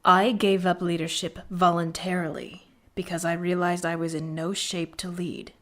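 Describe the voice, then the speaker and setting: A woman's voice: on the low side, little pitch movement, normal speed, a bit bright, close to an everyday voice. A woman voicing a proud, stern rebel military tactician in a video game, curt, sardonic and articulate, given to lecturing. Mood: stern, contemptuous. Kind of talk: scripted video game character acting.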